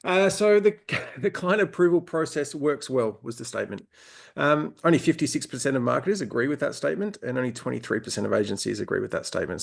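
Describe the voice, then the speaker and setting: A man talks through survey findings in a relaxed, conversational way, with a noticeably gravelly voice at an average pitch. He often pauses with "um" and repeats words while he talks. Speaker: co-presenter. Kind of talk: webinar presentation.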